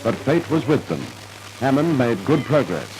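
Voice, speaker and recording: A man's voice: mid-range voice, sing-song, normal speed, over music, low-quality recording. A man narrating a scripted 1950s radio drama in a formal, dramatic storytelling manner, on an old radio recording.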